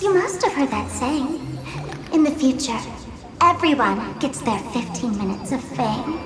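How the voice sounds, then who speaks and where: A high, girlish game villainess, a smug young idol trickster who savors her words slowly. She is teasing and grandiose, like a performer on stage. Her voice rings in an echoing space with music underneath. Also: animated. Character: playful, theatrical young woman internet idol, smug trickster. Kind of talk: video game voice acting.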